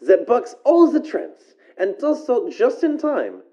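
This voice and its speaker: Paranormal radio host: female, theatrical and musing, very animated delivery, dull lo-fi sound. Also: a little high, slow, background noise, full character voice. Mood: intrigued, musing. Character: female host of an uncanny late-night radio show. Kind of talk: scripted radio show presenting.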